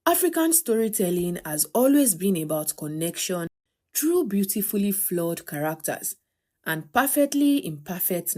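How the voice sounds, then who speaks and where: A woman narrating a scripted documentary in a measured, articulate way. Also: on the low side.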